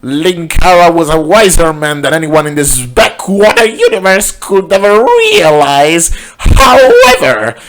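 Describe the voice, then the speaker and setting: A man puts on a theatrical mad scientist villain for a comic tale. The voice is raised in pitch and loud. The delivery is grandiose and taunting, full of declamation. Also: very animated, measured pace, few pauses. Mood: grandiose, menacing.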